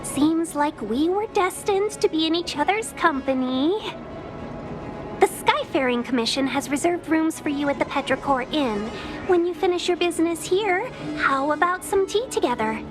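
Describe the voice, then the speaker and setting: A woman's voice: extremely high pitch, expressive, normal speed, over music. A woman playing a courteous, poised young female envoy in a video game, speaking politely and formally. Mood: gracious. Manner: polite, formal, smoothly hospitable. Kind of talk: video game character dialogue.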